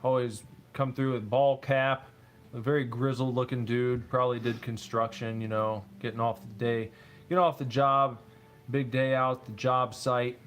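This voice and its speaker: A man's voice: mid-range voice, flat delivery, normal speed, a bit bright. A man hosting a casual building stream, laid-back, telling stories and reading chat aloud. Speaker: streamer host.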